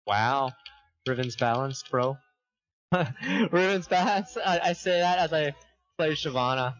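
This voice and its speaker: A man talking on voice chat during a game, excitable with loud outbursts and laughter. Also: fairly high pitch, a little slow. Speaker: teammate on voice chat. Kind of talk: casual gaming chat. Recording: muffled voice chat.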